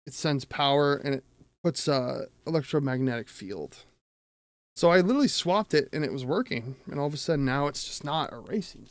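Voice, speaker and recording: Creaky-voiced man rambling casually. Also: medium pitch, dry recording. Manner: rambling, self-interrupting, swears casually; thinks aloud. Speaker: streamer and audio tinkerer. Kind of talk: casual livestream chat while repairing gear.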